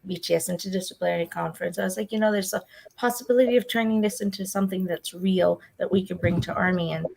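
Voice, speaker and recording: A woman's voice: mid-range voice, fast talker, gravelly, a little mellow, low-quality recording. A woman hosting a casual video call chat, rambling and restarting her sentences. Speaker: host.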